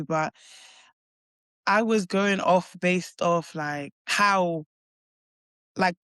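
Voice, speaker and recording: A woman's voice: fairly low pitch, dry recording. A young woman with a London accent, chatting as a guest in an interview and talking hesitantly, with lots of likes and restarts. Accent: London English. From London, England. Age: young adult. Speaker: college basketball player guest. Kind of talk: casual interview chat.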